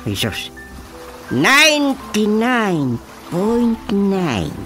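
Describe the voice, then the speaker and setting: An excitable village chairman with a Filipino accent. The delivery is slow but swings widely in pitch, teasing and exclaiming, with music clearly behind it. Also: exaggerated voice. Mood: exasperated, teasing. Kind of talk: radio drama comedy acting. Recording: radio drama with music underneath.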